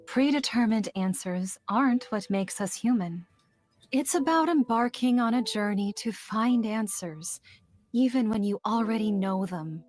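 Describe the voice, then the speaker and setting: An actress playing a gentle, wise queen mother in a video game, speaking in a measured, solemn way over music. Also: medium pitch, lightly acted. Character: gentle wise queen mother counseling her young son. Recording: music under the voice.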